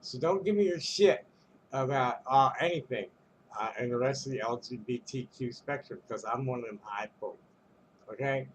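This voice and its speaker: A man of ordinary middle pitch vents straight to camera in a loose, rambling rant. He curses freely, trips over restarts and breaks into little chuckles, with a faint hum of noise behind him. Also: moderate pace, rough recording. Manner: rambling, profane, many restarts and stumbles; chuckles between phrases. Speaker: vlogger.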